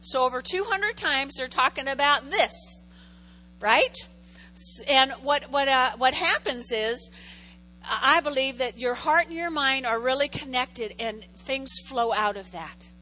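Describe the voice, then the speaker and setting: A woman's voice: high-pitched, unhurried, pushed and bright, a little hiss or hum, poor audio. A woman pastor preaching a sermon in a conversational way, repeating key phrases for emphasis and making jokey asides to the congregation.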